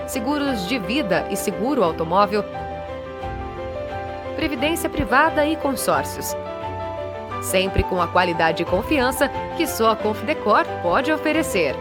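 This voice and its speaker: A woman reading a scripted advertisement in a smooth, polished promotional tone. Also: mid-range voice, lively intonation, measured pace, pauses often. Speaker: advertising voice-over. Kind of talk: scripted ad read. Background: loud music.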